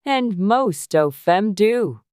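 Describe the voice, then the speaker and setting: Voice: mid-range voice, lively intonation, slow, a little mellow, smooth, dry recording, studio quality, pitched above the performer's own voice. A narrator voicing a haughty, ill-tempered storybook Duchess who is curt and condescending.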